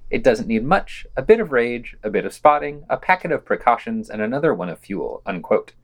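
A man reads scripted podcast round-ups with a very varied, animated intonation and a medium pitch. He drops in sardonic asides between the read passages, in the manner of a wry co-host. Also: a little fast. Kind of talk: scripted news-and-opinion reading with wry commentary.

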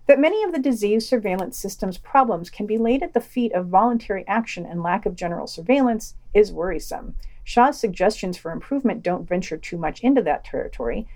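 A woman's voice: medium pitch, a little mellow. Woman, mid-range voice, scripted commentary, matter-of-fact, opinionated, podcast host. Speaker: podcast host reading a news roundup. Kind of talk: scripted review commentary, read aloud with quotations.

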